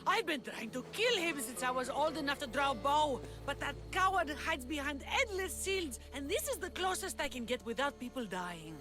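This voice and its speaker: A woman's voice: sits high, sing-song, normal speed, hardly any pauses, over music. A woman playing a passionate young rebel leader of ancient Greece in a video game cutscene. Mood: bitter.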